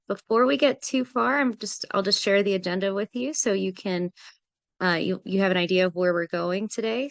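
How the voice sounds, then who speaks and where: A woman's voice: medium pitch, dry recording. A woman hosting a business webinar, guiding the talk in a friendly, organized way with frequent "um"s. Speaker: webinar host, project manager.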